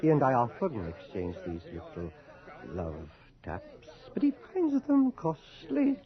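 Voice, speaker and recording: An actor playing a drunken, gloating blackmailer in a radio horror drama, sneering and wheedling with theatrical joviality. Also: male voice, mid-range voice, wildly swooping pitch, slow pace. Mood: mock jovial. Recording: muffled lo-fi recording, crowd behind. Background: tavern crowd.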